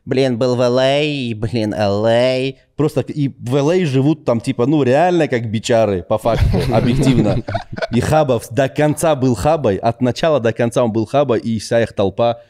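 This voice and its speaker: A man, a guest in a casual chat, telling stories in a lively way with street slang. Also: mid-range voice, normal speed. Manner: animated storytelling with street slang.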